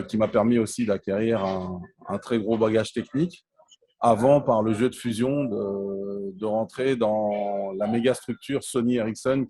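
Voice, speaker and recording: A man's voice: fairly low pitch, a little mellow, no room sound. A man from Congo in his forties, answering interview questions in a hesitant way, with frequent fillers and restarts. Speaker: interview guest, telecom entrepreneur.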